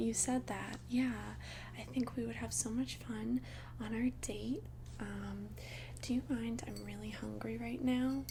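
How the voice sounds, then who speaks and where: A woman doing soft-spoken ASMR roleplay as a shy, polite vampire, in a breathy, intimate whisper with gentle hesitation. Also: on the high side, noisy background. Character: shy, polite thousand-year-old female vampire asking someone out.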